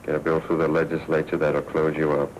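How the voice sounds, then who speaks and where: Muffled, faintly hissy 1940s film sound. a cool American newspaper editor with a low voice, terse, wry and unflappable in classic noir style. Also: male voice, monotone, brisk. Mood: wry, steady.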